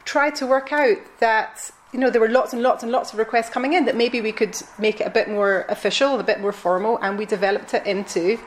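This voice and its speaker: A woman from Scotland with a broad Glasgow accent, talking easily about her work in a relaxed interview. She is in her forties and fills her sentences with ums and quick restarts, friendly and open. A faint hum of room ambience sits under her voice. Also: a little high. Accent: Glaswegian Scottish. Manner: chatty, friendly, with frequent um and uh restarts. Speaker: guest, concierge company owner. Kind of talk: conversational interview.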